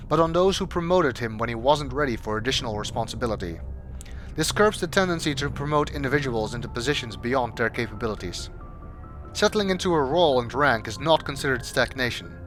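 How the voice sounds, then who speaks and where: A man reads scripted, documentary-style narration in a measured, formal, expository manner. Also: mid-range voice. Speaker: amateur voice-over narrator. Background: background music.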